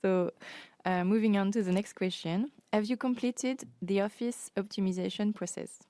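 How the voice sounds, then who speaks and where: A woman's voice: medium pitch, steady tone, normal speed, warm tone, no echo. A woman moderating an earnings call, formally reading out investor questions.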